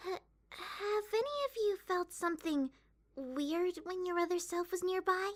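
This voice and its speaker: A woman voicing a timid, gentle young female pony in a dramatised story, hesitant and shy with stammers. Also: extremely high pitch, animated, a little slow. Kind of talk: dramatised fan fiction reading.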